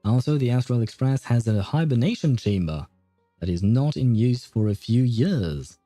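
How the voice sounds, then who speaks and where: Male game streamer, very soft dark tone, casual reactive commentary, thinking aloud, roomy sound over faint music. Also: medium pitch, expressive, normal speed. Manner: thinking aloud, informal, mild swearing. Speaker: livestreamer playing a story game.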